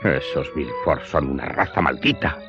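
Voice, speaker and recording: Radio drama role: guilt-ridden manservant, very low voice, deferential and sorrowful, muffled recording with music behind. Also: male voice, normal speed. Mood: troubled, grave. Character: remorseful male servant, a steward confessing his crime. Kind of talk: Spanish-language radio drama acting. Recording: dull lo-fi sound, music behind.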